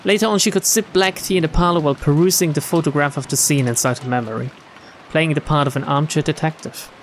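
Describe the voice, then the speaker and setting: A man streaming a visual novel, reading it aloud with expressive, casual commentary. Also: on the high side, quick pace, slight background noise. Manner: expressive reactions, casual swearing. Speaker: game streamer.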